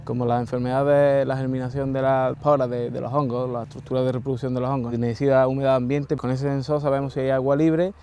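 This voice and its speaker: A man's voice: medium pitch, level tone, fast, few pauses, mellow, a little hiss or hum. A young man with a Spain accent giving a technical explanation as an agricultural technician. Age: young adult. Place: outdoors beside olive rows.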